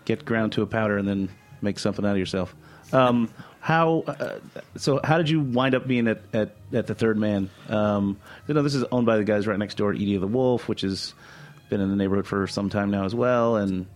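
A man's voice: lowish voice, fairly quick, hardly any pauses. A man co-hosting a podcast, chatting casually and asking curious follow-up questions. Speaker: podcast co-host. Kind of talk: casual interview chat.

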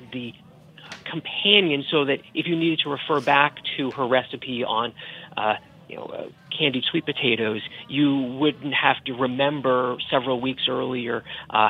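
A man's voice: mid-range voice, gravelly, slightly rough, narrow, tinny audio. A man speaking as an author guest in a podcast interview, explaining in a chatty, professorial way.

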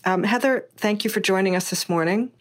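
A woman's voice: mid-range voice, fairly quick. A woman from Hawaii hosting a podcast interview in an upbeat, conversational way. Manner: upbeat, conversational, some restarts. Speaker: podcast host. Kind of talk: casual interview chat.

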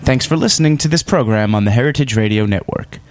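A man's voice: mid-range voice, fast talker, soft background music. A man reading a scripted network announcement.

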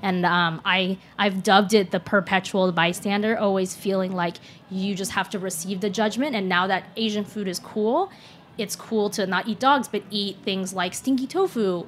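A woman's voice: medium pitch, moderate pace. Young woman chef, earnest, lots of "like" and "um", faint noise in the background. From United States (born in Shanghai). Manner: earnest, reflective, frequent fillers like and um. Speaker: chef, guest. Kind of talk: casual interview conversation.

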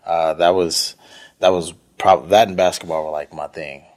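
A man's voice: sits low, steady tone, normal speed. A thirty-year-old man from Houston, Texas, giving conversational answers as an interview guest, hesitant and thinking as he goes. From northeast Houston, Texas. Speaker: interview guest, chef and restaurant owner.

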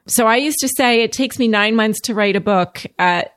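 Higher-voiced woman host, dry sound. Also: normal speed, a bit bright, dry recording. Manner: friendly, laughs often. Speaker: podcast host. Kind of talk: conversational interview.

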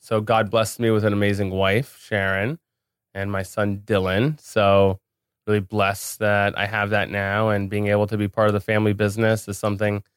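A man's voice: fairly low pitch, little pitch movement, moderate pace, clear, resonant tone, dry recording. A man speaking casually as an interview guest on a podcast, recorded in a studio.

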